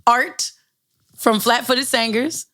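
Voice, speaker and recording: A woman's voice: on the high side, slow pace, background noise. A woman hosting a show, talking in a hype, playful way and swearing freely in casual chat. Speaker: host.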